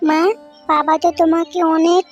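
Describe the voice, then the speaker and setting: A woman's voice: very high voice, little pitch movement, moderate pace, dull audio, soft background music. A woman voicing a hungry, neglected little girl in an amateur cartoon dub, whining and pleading.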